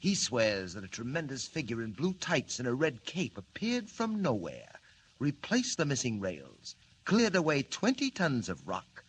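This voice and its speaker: A smug villain mastermind, sneering in stiff, formal phrases with a lively, swooping pitch. Old radio drama acting over a faint hiss. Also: male voice, measured pace. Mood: scheming. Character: scheming, mocking male villain mastermind.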